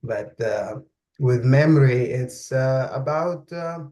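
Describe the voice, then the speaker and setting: Slow, deliberate man. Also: medium pitch, mellow, highs cut off. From Saudi Arabia. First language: Arabic. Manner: deliberate, searching for words. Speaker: guest expert. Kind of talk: interview conversation.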